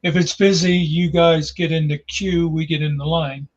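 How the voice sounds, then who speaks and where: A man's voice: fairly high pitch, steady tone, moderate pace. An American man joking wryly in casual panel banter, on a slightly dull, lo-fi mic. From United States. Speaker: talk show panelist.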